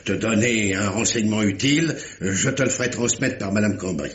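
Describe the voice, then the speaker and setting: A man playing a stern examining magistrate in an old-style French radio mystery, formal, probing and deliberate. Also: on the low side, slight background noise, close to an everyday voice. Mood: grave, firm. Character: stern examining magistrate, paternal uncle, insistent and measured.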